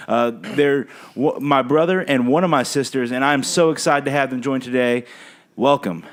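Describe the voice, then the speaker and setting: A man's voice: medium pitch, normal speed. A young man speaking in a friendly, informal, encouraging way as a pastor hosting a family chat. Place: family kitchen.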